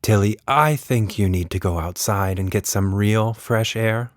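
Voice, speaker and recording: A man voicing a busy storybook mother, patient but firm, flat and gently dismissive. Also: lowish voice, measured pace, no room sound, studio quality, close to an everyday voice. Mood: unworried.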